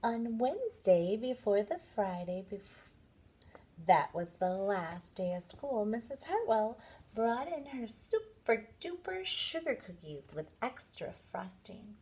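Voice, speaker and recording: A woman with a fairly high, very lively voice reads a picture book to young children. She speaks like a teacher, expressively and deliberately, taking an unhurried pace. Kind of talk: read-aloud storytelling.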